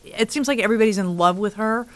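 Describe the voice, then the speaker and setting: A woman's voice: medium pitch, quick pace, fairly bright tone, a little hiss or hum, lightly acted. A woman playing a detective's wry, practical sidekick in an audio drama, speaking in a dry, matter-of-fact way. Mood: puzzled.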